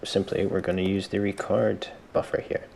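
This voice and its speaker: A man presenting a software tutorial step by step, informally thinking aloud as he explains. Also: low-pitched, little pitch movement, normal speed, creaky, slight background noise. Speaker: tutorial host.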